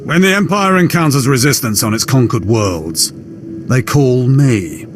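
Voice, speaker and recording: A man's voice: mid-range voice, animated, unhurried, faint music behind, lightly acted. A man playing a cold, sadistic Sith lord, smug and menacing, in a video game cutscene.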